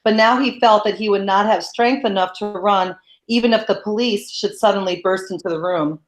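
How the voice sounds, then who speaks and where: A woman narrating a novel in a steady, measured read-aloud. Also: mid-range voice. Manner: steady, even, measured reading. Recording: roomy echo.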